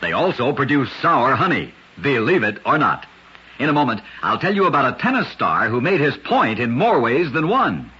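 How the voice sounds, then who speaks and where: A man narrating short scripted stories in an old-time radio style, with an emphatic showman delivery and a husky voice. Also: mid-range voice, big pitch swings, normal speed, pushed and bright. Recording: dull, lo-fi old radio recording.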